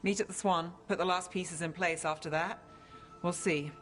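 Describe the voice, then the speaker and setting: An actress playing a guarded, wry, driven detective with a dry, clipped delivery in a game scene. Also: female voice, lowish voice, fairly even intonation, moderate pace, fairly bright tone, faint music behind, lightly acted. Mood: grave. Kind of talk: cinematic video game acting.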